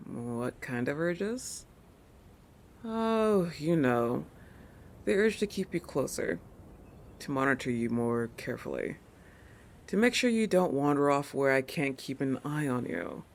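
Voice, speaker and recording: Woman acting a slow, gravelly possessive shark girl. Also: low voice, animated, a little hiss or hum. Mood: possessive, coy. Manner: haughty, teasing, hesitant self-corrections. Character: possessive, proud, oblivious young shark girl, flustered protector. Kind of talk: roleplay audio monologue addressed to the listener.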